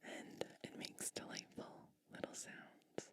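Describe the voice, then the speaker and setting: Slow, whispering ASMR woman. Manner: soothing, gentle, unhurried instructions. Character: caring witch soothing someone to sleep. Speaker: ASMR performer. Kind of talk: whispered ASMR personal-attention roleplay.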